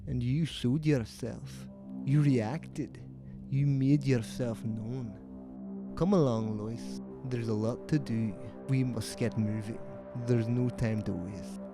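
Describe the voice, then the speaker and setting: A man playing a calm, sinister police commissioner in a horror audio drama, measured and ominous. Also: medium pitch. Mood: coolly sinister. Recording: music behind the voice.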